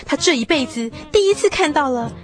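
A woman narrating a radio drama in an expressive, measured literary style. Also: high-pitched. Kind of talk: scripted radio drama storytelling. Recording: music behind the voice.

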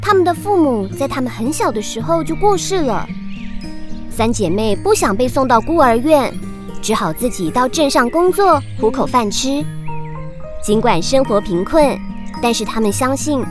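A woman storyteller narrates a children's tale. The voice is high, delivered as scripted narration over clear children's music. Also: lively intonation, normal speed.

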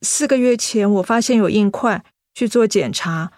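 An actress playing a gentle, guilt-ridden young woman in a Mandarin radio drama, apologetic and hesitant, with a Taiwanese accent. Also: medium pitch, normal speed, no echo, clean studio sound, close to an everyday voice. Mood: apologetic, pained.

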